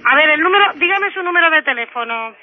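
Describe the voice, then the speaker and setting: A woman's voice: high voice, expressive, fast, crowd noise. A woman with a Spanish accent from Spain, working as a taxi dispatcher on a telephone, insistent and puzzled. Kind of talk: phone customer service. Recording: dull lo-fi telephone line.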